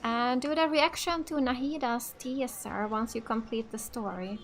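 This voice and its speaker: A woman's voice: fairly high pitch, normal speed, slight background noise. A woman from Finland, a native Finnish speaker, giving casual let's play commentary and tentatively thinking aloud. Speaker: gaming streamer.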